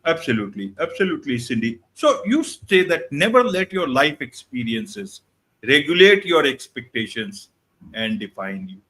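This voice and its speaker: A man's voice: medium pitch, sing-song, normal speed, fairly bright tone. A man hosting an interview, asking questions in an encouraging way. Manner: encouraging, repeats affirmations. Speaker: host.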